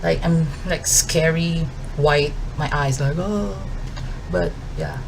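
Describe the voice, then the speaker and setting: A woman's voice: sits low, sing-song, slow pace, gravelly, noisy background. A woman in her thirties with a Filipino accent, chatting casually through her makeup routine with lots of "um" and "like". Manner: chatty, with fillers like "um" and "like" while doing makeup. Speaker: beauty vlogger. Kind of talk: casual get-ready-with-me chat.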